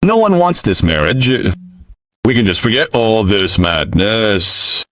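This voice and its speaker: A man's voice: lowish voice, big pitch swings, slow, a bit bright, full character voice. A man reading the lines of an uneasy, polite young cowboy.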